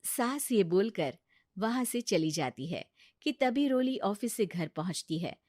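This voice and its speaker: A woman's voice: mid-range voice, animated, unhurried, a little mellow, no room sound, studio quality. A woman narrator reading a scripted family story.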